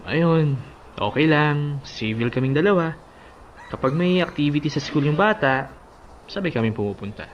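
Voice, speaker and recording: An actor playing a devoted, encouraging suitor in a Filipino radio drama, speaking earnestly and persuasively. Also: male voice, fairly high pitch, animated, moderate pace, pauses often, lo-fi sound, a little hiss or hum, lightly acted. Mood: calm, reassuring. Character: devoted, encouraging male suitor Alan, a father. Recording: faint music behind the voice.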